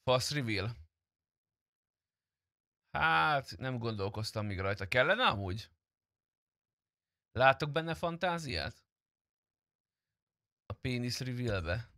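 A man talks as a game streamer in a medium-pitched voice. His delivery is casual and teasing, loosely peppered with swearing. The recording is dry, with little room sound. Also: lively intonation, long pauses, sharp tone, no echo. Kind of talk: casual live-stream chat during gameplay.

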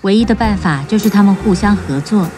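A woman's voice: mid-range voice, fairly quick. A woman storyteller reading a scripted children's tale as narrator. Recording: music bed under narration. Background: music.

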